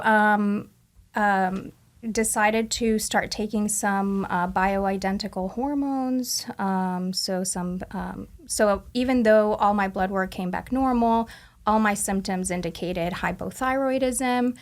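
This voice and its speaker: A woman in her forties, originally from Argentina and a native Spanish speaker, talks as a guest in a relaxed interview. Her voice sits at a middling pitch with a gravelly fry to it. She pauses often with ums and uhs. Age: 40s. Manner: halting, lots of ums and uhs, light laughs. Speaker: guest, patient. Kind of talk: casual interview chat.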